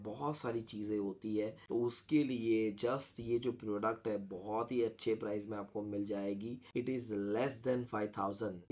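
An Indian man giving a casual product demo and sales pitch, speaking informally and mixing in English phrases. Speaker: gadget reviewer and seller.

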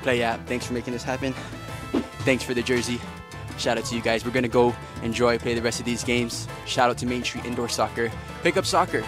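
A man's voice: medium pitch, steady tone, a little fast, over music. A man narrating a soccer travel vlog, upbeat and talking straight to his viewers. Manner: upbeat, addresses viewers as guys. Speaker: soccer vlogger. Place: outdoors and inside a large stadium.

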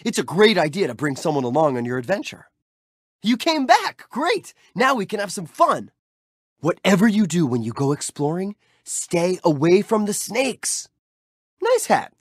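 A cheerful young street-thief hero from a video game, chatty and playful as he cheers the player on. His voice swoops widely up and down with bright youthful bounce. It is recorded dry and close on a studio mic with no room sound. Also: high voice, no room sound, full character voice. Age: young adult. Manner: friendly, playful, upbeat and encouraging. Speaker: game character.

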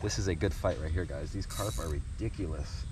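A man's voice: deep voice, a little fast. An American man in his thirties presenting a casual outdoor fishing how-to, recorded on an action camera by a river. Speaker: angler presenting a fishing video. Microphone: action camera a short distance away. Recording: noisy outdoor camera audio.

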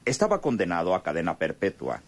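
A man's voice: deep voice, wildly swooping pitch, fast, heavily acted. A male narrator, reading a radio serial as a grave, suspenseful storyteller. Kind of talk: dramatic scripted radionovela narration.

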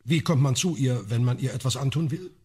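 An actor playing a sardonic, erudite man who leads an investigation in a radio crime drama, with a husky voice and a wry, ornate, deliberate way of talking. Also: mid-range voice, mellow, close to an everyday voice.

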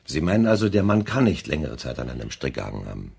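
A man acting as a shrewd chief inspector in a German radio crime drama, questioning in a deliberate, wry way. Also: a bass voice, big pitch swings, creaky, darkish tone, slightly rough, heavily acted. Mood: probing. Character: shrewd, probing male police chief inspector.

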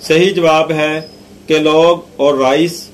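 A man narrating scripted quiz questions and answers in a measured, deliberate reading. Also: on the high side, fairly even intonation, poor audio.

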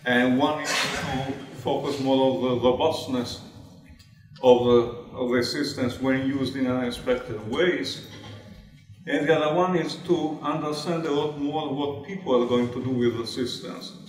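A man summing up a conference discussion point by point in a measured, orderly way. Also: medium pitch, fairly even intonation. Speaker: conference participant. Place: hotel conference room. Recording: echoey hall, far from microphone.

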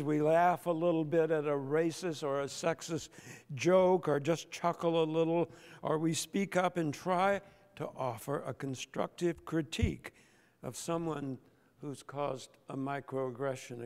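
An older man, a pastor, preaching a sermon from the pulpit with slow, emphatic delivery and deliberate pauses. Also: on the high side, vocal fry, darkish tone, clean tone. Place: large church sanctuary, at the pulpit. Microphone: pulpit microphone. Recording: long church reverb.

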